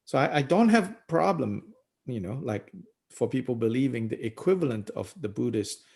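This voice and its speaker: A middle-aged man leads a book study as a Buddhist teacher. His voice is husky with a gravelly edge. His pitch swings freely as he muses aloud, pausing often and chuckling between thoughts. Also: sing-song, darkish tone. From Malaysia. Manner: thoughtful, unhurried, many "you know"s and pauses, chuckles often. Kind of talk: reflective discussion talk.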